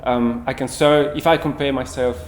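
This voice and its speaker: A man's voice: mid-range voice, steady tone, moderate pace. A man from Chile, talking easily about his art practice in an interview. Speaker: program graduate, painter and art teacher. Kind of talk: interview testimonial. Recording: echoey room with faint hiss.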